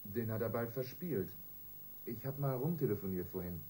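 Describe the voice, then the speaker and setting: Male investigator, radio crime drama, male actor: lowish, mellow, measured, reports facts to his boss. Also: animated, slight background noise, lightly acted, rough recording. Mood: reporting. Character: matter-of-fact male police investigator reporting to superior.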